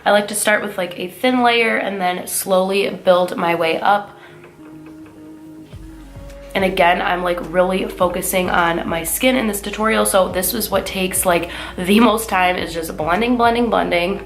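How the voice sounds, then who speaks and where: A young woman talks through a makeup tutorial in an upbeat, chatty way, full of little fillers. Her voice sits at an everyday middle pitch and sounds stuffy and nasal from a cold. Soft music plays faintly underneath. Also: a little slow. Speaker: beauty vlogger. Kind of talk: casual makeup tutorial talk.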